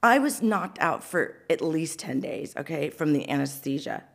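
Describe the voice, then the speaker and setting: A woman's voice: low voice, lively intonation, normal speed, vocal fry. A woman co-hosting a casual advice show, talking in a blunt, emphatic, exclamatory way with strong opinions.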